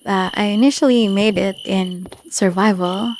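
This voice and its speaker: A woman's voice: medium pitch, fairly even intonation, unhurried, a little mellow, a little hiss or hum. A woman giving a casual, unscripted video game tour commentary, hesitant and often searching for words. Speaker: gamer showing off own Minecraft village build.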